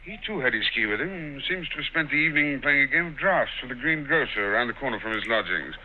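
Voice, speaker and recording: A man playing a portly armchair brother, fast and dry. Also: medium pitch, expressive, natural voice. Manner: dry, measured, self-assured. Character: portly, languid older brother, armchair reasoner. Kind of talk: 1940s radio acting. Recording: muffled old radio recording with faint hiss.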